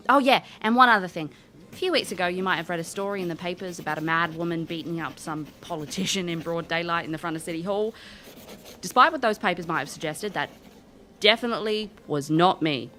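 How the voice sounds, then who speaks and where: A woman's voice: lowish voice, bright, forceful tone. A woman playing a brash, cheeky, big-hearted elf in an audio drama, with a British accent and wry, colloquial talk. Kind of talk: audio drama acting and first-person narration.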